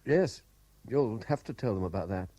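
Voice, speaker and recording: A man's voice: fairly low pitch, lively intonation, normal speed, dark tone. A British actor playing a guilt-ridden, evasive man in a radio drama, with a faltering, hesitant delivery. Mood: guarded. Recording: noisy vintage 1970s radio drama recording.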